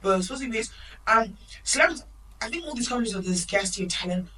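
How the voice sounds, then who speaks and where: A woman's voice: a little high, animated, sharp tone, recorded from a distance, low-quality recording. A woman of Nigerian heritage, co-hosting a podcast in emphatic, opinionated casual chat. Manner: opinionated, emphatic, quick asides. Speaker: podcast co-host.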